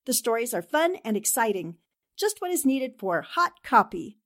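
A woman's voice: a little high, very animated, moderate pace, a bit bright. A woman, a cast member of an audio drama podcast, giving a scripted address to listeners.

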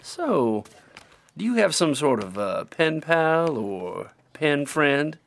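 Cocky, brooding Southern rogue. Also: male voice, on the high side, very animated, slow, creaky, exaggerated voice. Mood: teasing. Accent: American South. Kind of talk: old-time radio drama acting.

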